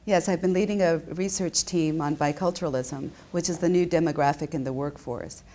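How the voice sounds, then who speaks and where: A woman's voice: low voice, brisk, warm tone. A woman in her fifties who grew up in Japan, a business school professor answering interview questions in an explanatory way, often restarting her sentences. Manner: explanatory, with frequent restarts and fillers. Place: indoor office.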